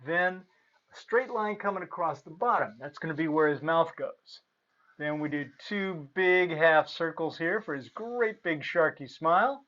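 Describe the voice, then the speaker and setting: A man's voice: fairly high pitch, pushed and bright, dull audio. A man presenting and reading aloud for children as a storyteller, playful and theatrical.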